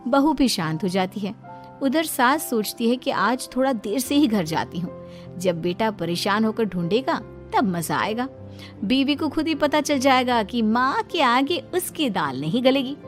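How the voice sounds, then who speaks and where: A woman's voice: a little high, animated, unhurried, faint music behind. A woman storyteller delivering scripted narration for a cartoon family moral tale.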